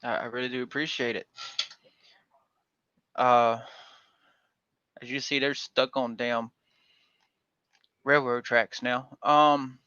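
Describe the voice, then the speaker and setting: A man from Georgia hosts a livestream panel in a rambling, folksy way, leaning on "uh" and "um" between thoughts. His voice sits at an ordinary middle pitch and comes through dull and lo-fi. The audio is bone dry, with no room sound around it. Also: fairly even intonation, takes it slowly, long pauses, pushed and bright, smooth, no room sound. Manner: rambling, folksy, with frequent fillers. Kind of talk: casual livestream chat.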